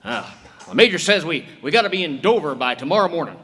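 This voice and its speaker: A man's voice: a little high, big pitch swings, quick pace, pushed and bright, exaggerated voice. An actor playing a talkative, folksy railroad fireman who rambles a bit, in a stage reenactment of an old-time radio drama. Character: chatty male railroad fireman sidekick. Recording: reverberant theater hall.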